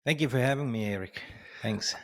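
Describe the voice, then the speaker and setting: A man, a podcast guest telling his life story in a halting way over a video call. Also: medium pitch, fairly bright tone. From Switzerland. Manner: halting, many restarts and fillers, laughs while telling stories. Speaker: guest, entrepreneur and coach. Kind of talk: storytelling in an interview. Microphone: studio mic on a stand, video call.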